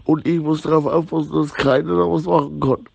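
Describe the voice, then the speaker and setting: A middle-aged man talks in a loose, repetitive, slangy ramble. His voice is fairly high for a man, with a dark, mellow tone and plenty of gravel. The recording is dull and lo-fi. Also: flat delivery, brisk, no room sound. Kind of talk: rambling informal monologue.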